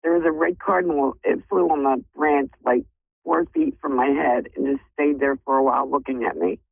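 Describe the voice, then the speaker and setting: A woman's voice: very deep voice, normal speed, creaky, husky, no echo. A woman calling in by phone, grateful and emotional as she talks. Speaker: caller. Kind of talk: phone call-in. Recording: tinny dry phone line.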